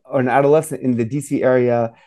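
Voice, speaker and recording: A man's voice: medium pitch, fairly even intonation, fast, warm tone. A man in his forties who grew up in Saudi Arabia, an author speaking as an interview guest, rambling with many fillers and restarts. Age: 40s. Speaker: author and professor.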